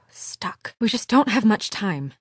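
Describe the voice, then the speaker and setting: A woman's voice: medium pitch, very animated, slow pace, breathy edge, no room sound, whispered, clean studio sound, exaggerated voice. An actress voicing a composed, businesslike but worried young woman official in a video game, speaking in an earnest, restrained, matter-of-fact way. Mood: worried, urgent. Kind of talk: naturalistic video game voice acting.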